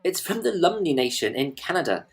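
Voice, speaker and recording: A deep, husky, earnest researcher. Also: female voice, sing-song, fairly quick, exaggerated voice. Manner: patient, explanatory. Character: earnest adult whale researcher explaining her work. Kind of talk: audio play acting.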